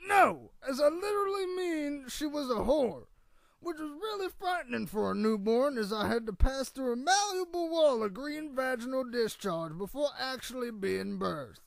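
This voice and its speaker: Comic radio play: ancient, cantankerous old man, high-pitched, heavily creaky, rambling and crude. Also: expressive, normal speed, no room sound. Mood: matter-of-fact reminiscing. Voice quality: creaky, gravelly old-timer voice. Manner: rambling, cantankerous, folksy storytelling with profanity. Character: crotchety, crude, boastful world's oldest man, born 1824. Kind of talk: comedic radio theatre interview.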